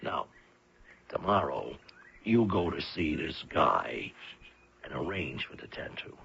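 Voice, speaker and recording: Radio crime role: persuasive underworld fixer, extremely low, swinging pitch, sly delivery, dull old recording. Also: male voice, sing-song, slow pace, a bit bright, slight background noise, exaggerated voice. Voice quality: very gravelly. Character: shady, persuasive criminal schemer hiring a hitman. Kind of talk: 1970s-80s radio mystery drama acting.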